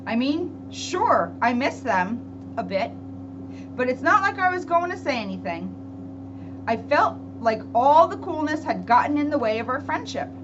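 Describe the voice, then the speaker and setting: A woman reading a picture book aloud to children in an expressive, chatty way, with casual asides. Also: high voice, unhurried. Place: library room. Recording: dull, very noisy with a hum.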